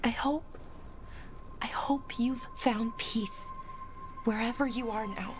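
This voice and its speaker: Young elf woman, game cutscene. Grieving, tender, earnest, halting phrases over clear music. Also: on the high side. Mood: grieving, hushed. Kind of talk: video game cutscene acting. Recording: game audio with music underneath.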